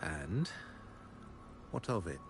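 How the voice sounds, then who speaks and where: An actor playing a scornful, haughty religious enforcer in a video game, condescending and theatrical. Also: male voice, low-pitched, very animated, slow, music in the background, exaggerated voice.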